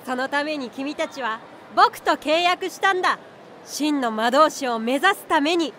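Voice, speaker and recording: High boyish unicorn guardian. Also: female voice, animated, measured pace, sharp tone, a little hiss or hum, heavily acted. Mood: calm, encouraging. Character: small unicorn guardian beast, boyish, calm, knowing mentor. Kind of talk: anime-style voice drama acting.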